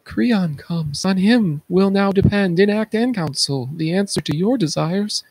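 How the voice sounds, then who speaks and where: A man's voice: sits high, unhurried, a little mellow, no room sound, voice pushed up. A man playing a solemn, alarmed chorus elder in an urgent, theatrical reading of Greek tragedy.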